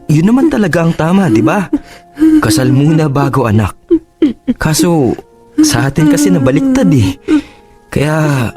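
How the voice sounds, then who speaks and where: An actor playing a devoted, gentle young fiancé in a Filipino radio drama, coaxing and emotive. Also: male voice, mid-range voice, natural voice.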